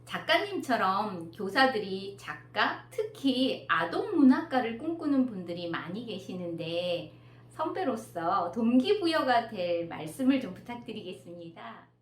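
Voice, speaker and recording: A woman's voice: medium pitch, big pitch swings, unhurried. A woman interviewer who asks her guest questions politely and with admiration, and laughs easily. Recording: echoey room with faint noise.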